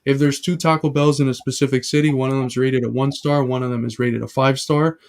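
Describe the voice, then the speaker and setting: A man's voice: mid-range voice, fairly even intonation, fairly quick, a little mellow. A man hosting a live reaction stream, explaining ideas with everyday examples in a casual, lecturing way. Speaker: stream host.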